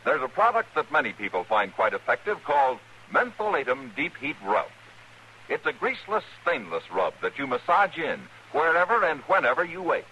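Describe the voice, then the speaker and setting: A man's voice: mid-range voice, bright, forceful tone. A North American man reading a scripted radio commercial as an announcer. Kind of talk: scripted radio advertisement read. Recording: slightly noisy old radio broadcast.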